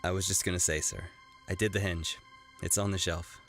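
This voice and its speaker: A restless young apprentice in an animated drama, with a very deep voice. He talks haltingly and earnestly, an awkward dreamer, over faint music. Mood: subdued, respectful. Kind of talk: naturalistic animated series acting.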